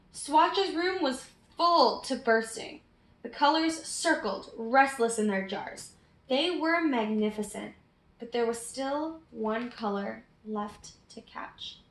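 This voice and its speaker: A woman with a high, bright voice reads a storybook aloud to young children. Her pace is slow and deliberate, and her delivery is expressive and inviting of participation. The sound is roomy. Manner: prompts young listeners with questions.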